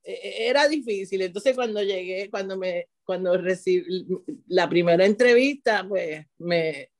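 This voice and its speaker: A woman's voice: mid-range voice, unhurried. Middle-aged Puerto Rican woman, teacher guest, loose talk full of restarts and asides. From Puerto Rico. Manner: restarts often, frequent "¿verdad?" tag. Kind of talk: conversational interview.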